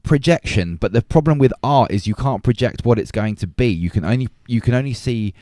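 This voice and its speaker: A man's voice: mid-range voice, expressive, a little fast, warm tone, no echo, clean studio sound. A man hosting a podcast, arguing his points emphatically in a conversational film debate. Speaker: podcast host.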